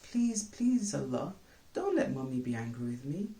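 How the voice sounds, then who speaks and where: A man's voice: medium pitch, sing-song, moderate pace, mellow. A man voicing an earnest, eager and anxious little boy in a children's storybook. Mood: pleading. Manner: earnest, pleading. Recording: roomy, echoing sound.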